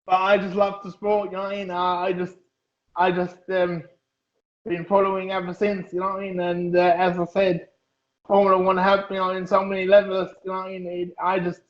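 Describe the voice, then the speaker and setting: A man in his thirties from Germany, talking haltingly as an interview guest, with many fillers and restarts. His voice is high and flat, with little rise and fall. The sound is echoey and dull, like a lo-fi room recording. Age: 30s. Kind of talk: casual interview.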